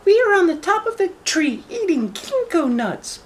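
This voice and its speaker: Man putting on a high sing-song little girl. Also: sing-song, normal speed. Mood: sweetly coaxing. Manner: sing-song falsetto, sweetly pretending. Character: clever eldest little Chinese girl coaxing a wolf. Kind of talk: storybook read aloud.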